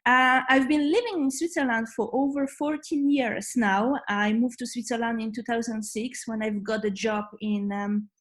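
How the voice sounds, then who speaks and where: Polish-accented woman, higher voice. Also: normal speed, hardly any pauses. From Poland. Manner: many fillers and restarts, laughs easily, warm and earnest. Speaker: guest. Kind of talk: casual interview conversation.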